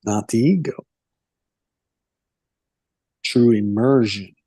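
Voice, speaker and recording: A male host talking in a rambling, gentle way, full of fillers, in a casual conversation. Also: mid-range voice, slow pace, no echo, studio quality.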